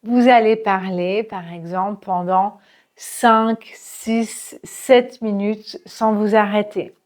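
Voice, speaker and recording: A woman teaching her language in a relaxed spoken lesson, patient and full of small hesitations. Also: medium pitch. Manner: slow, patient, with frequent hesitations and fillers. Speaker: French teacher.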